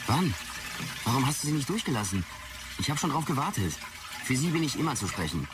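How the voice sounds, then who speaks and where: A man's voice: mid-range voice, very animated, a little fast, recorded from a distance, noisy background, close to an everyday voice. An actor playing an irritable, weary, sarcastic man grumbling in a sci-fi audio drama. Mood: impatient. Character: irritable, weary man bickering with his implant.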